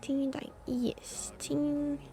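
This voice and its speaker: High, creaky woman streamer musing casually. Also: level tone, extremely slow, dark tone, slight background noise. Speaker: live game streamer. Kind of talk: casual chat and reactions while playing.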